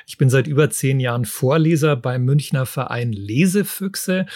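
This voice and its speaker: A man's voice: medium pitch, moderate pace, darkish tone, dry recording. A man with a mid-range voice talks casually and with enthusiasm as a podcast co-host. The recording is dry and close. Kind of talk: casual book talk.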